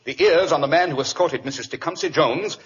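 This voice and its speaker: An actor playing a confident, urbane British detective with a precise, theatrical manner in 1940s radio drama style. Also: male voice, a little high, brisk, a bit bright, lightly acted. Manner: precise, theatrical, self-assured. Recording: dull vintage radio recording with hiss.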